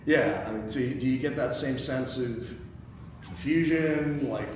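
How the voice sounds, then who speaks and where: A man with a medium-pitched voice hosts and narrates a script table read. He is picked up from a distance in a very echoey indoor space with noticeable noise. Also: a little slow. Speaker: host and stage-direction reader. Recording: very echoey room, distant mic, background noise.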